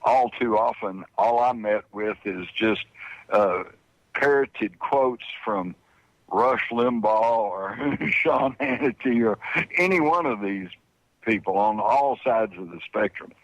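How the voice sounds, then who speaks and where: A man's voice: on the low side, expressive, takes it slowly, dry recording, muffled recording. An older man speaking as a radio interview guest, opinionated and emphatic with a folksy way of talking. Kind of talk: opinionated political discussion.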